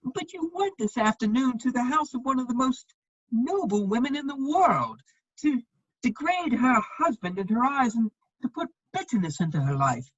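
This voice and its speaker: Aloof society gentleman, female performer, husky and highly inflected, dry cutting wit, measured phrasing, for a period comedy reading. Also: very animated, dry recording, lo-fi sound. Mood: indignant. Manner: dry, measured, epigrammatic delivery. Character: witty, aloof upper-class gentleman, principled and cutting.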